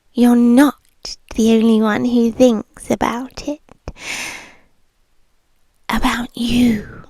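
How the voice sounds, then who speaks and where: British woman acting as a teasing yandere bartender girlfriend for ASMR roleplay; high, very slow, breathy and whispery, close-miked. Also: a little mellow, no room sound. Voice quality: breathy, often dropping into whisper. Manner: slow, teasing, lingering pauses. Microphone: close-up ASMR microphone.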